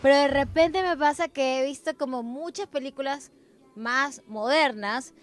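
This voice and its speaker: A woman's voice: high voice, unhurried. A woman co-hosting a casual conversation, commenting and putting questions to a guest. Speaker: podcast co-host. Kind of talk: casual chat, asking and commenting.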